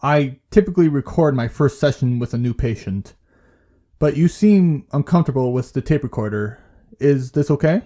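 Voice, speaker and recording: A man playing a calm, patient therapist in an audio drama, asking questions in a measured, gentle way. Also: mid-range voice, natural voice. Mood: gentle, professional. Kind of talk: naturalistic audio drama dialogue.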